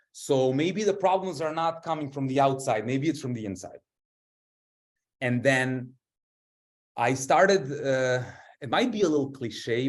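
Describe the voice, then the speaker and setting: Man, rambling and informal. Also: mid-range voice. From Serbia. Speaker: guest, author and breath work and meditation expert. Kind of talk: casual personal storytelling to an audience.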